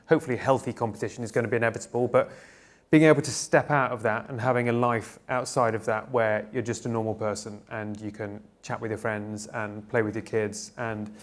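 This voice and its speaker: A company founder, a man, answering panel questions in a relaxed, conversational way, full of fillers and restarts. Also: medium pitch. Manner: relaxed, filler-heavy, repeats "kind of". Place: conference stage.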